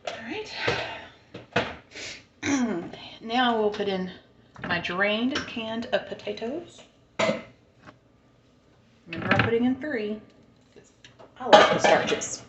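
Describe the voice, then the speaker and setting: A woman's voice: mid-range voice, lively intonation, slow, pauses often, reverberant, muffled recording, very noisy. A woman with a Southern American accent, chatting casually and thinking aloud as she cooks in her home kitchen. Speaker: home cook. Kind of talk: casual cooking vlog chat.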